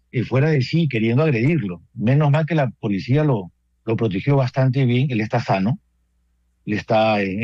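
A man with a Peruvian accent, a priest answering radio questions in a halting, thoughtful way with many restarts. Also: medium pitch, darkish tone, no echo, muffled recording. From Peru. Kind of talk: radio interview guest.

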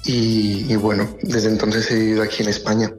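A man's voice: on the low side, flat delivery, moderate pace. Young man, faint Colombian accent, medical researcher, thoughtful and hesitant, faint music behind. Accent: faint Colombian, softened by years in Spain. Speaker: medical researcher, interview guest. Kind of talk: radio interview.

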